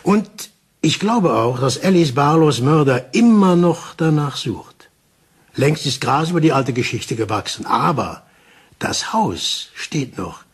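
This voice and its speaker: An actor playing an older male detective in a German crime radio play, calm, insistent and explaining things deliberately with dramatic pauses. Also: a little high, husky, full character voice.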